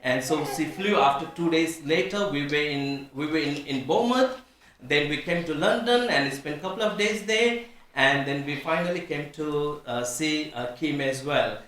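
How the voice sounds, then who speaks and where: A man in his forties from Nepal, with a Nepali accent, speaking earnestly to a congregation as a visiting pastor, halting often with fillers and restarts. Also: a little high, a bit bright. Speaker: visiting pastor and church planter. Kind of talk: church talk to a congregation. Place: church hall. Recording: echoey church hall from far off.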